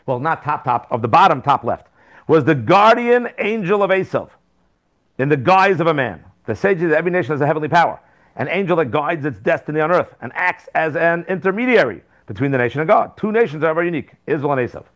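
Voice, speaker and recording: Animated man teaching a Torah class. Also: fast. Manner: animated, asks rhetorical questions, sudden jumps in pitch and loudness. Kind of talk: lively Torah class lecture. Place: classroom with students.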